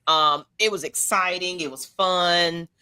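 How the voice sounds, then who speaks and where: A woman's voice: steady tone, a little slow, bright, forceful tone, clear, resonant tone. A woman, co-hosting a casual fan chat and laughing often. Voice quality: low-toned voice. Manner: laughs often, loose and chatty. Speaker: podcast co-host.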